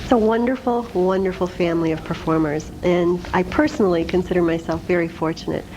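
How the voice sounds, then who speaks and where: A woman's voice: lowish voice, fairly even intonation, brisk, background noise. A woman speaking as a guest in a casual interview about her work. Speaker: interview guest, supper club spokesperson.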